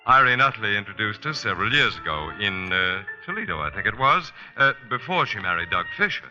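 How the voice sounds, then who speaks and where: An actor playing a courtly, hesitant, ingratiating con artist in a 1940s radio drama. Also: male voice, lowish voice, big pitch swings, a little fast. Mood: suave charm. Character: smooth-talking male con artist feigning old acquaintance. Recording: tinny old 1940s radio drama recording, faint music.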